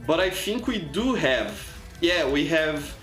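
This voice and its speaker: Brazilian man, Portuguese native, game streamer; chatty, stumbles and repeats words; a bit high-pitched; roomy sound, faint music. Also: animated, takes it slowly, a bit bright. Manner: chatty, frequent restarts and repeated words, reads chat aloud. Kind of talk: casual live gameplay commentary.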